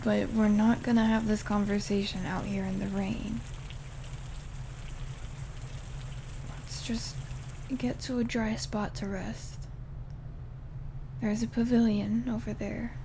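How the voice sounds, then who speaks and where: A woman playing a caring, hurt young friend in an intimate audio roleplay, speaking earnestly and haltingly to the listener. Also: mid-range voice, creaky. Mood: relieved, gentle. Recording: steady rain noise over the voice. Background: rain falling on surfaces.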